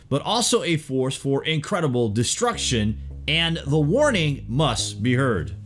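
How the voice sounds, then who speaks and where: A male narrator reads a documentary-style script at a middle pitch with plenty of melodic movement. He leans into dramatic rhetorical questions and speaks directly to his audience. Soft guitar music plays faintly behind the voice.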